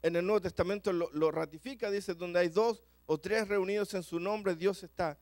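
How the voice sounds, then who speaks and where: Fast-talking Chilean preacher, high voice. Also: male voice, fairly even intonation, darkish tone, no echo. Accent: Chilean Spanish. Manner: fast-talking, repeats words when rushing. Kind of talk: sermon. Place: church.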